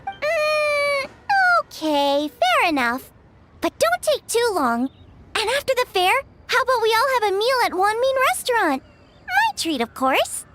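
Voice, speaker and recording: A woman's voice: very high-pitched, huge pitch swings, a little slow, noisy background, exaggerated voice. A woman playing a sweet, caring young girl in an English video game dub, with a youthful, earnest, friendly delivery. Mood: warm, reassuring. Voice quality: youthful, girlish.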